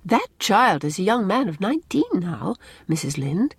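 Woman reader as romantic young bride, cheerful, teasing, lyrical, lilting lively pitch, storybook dialogue, dry sound. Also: normal speed, breathy edge, dry recording, heavily acted. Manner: expressive, lyrical, lightly teasing. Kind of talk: audiobook character dialogue.

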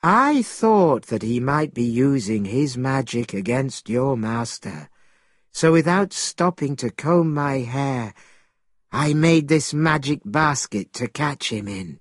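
A man voicing a calm goddess in a storybook, explaining things in a measured manner. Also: mid-range voice, slightly rough, no room sound, studio quality. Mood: calm, explanatory. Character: calm, explanatory goddess, female, telling what she did.

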